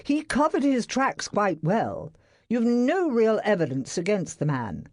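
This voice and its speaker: A woman voicing a calm, reasonable young man working as a private secretary. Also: mid-range voice, dry recording, studio quality, voice pushed up. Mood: reasoning. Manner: reasonable, measured.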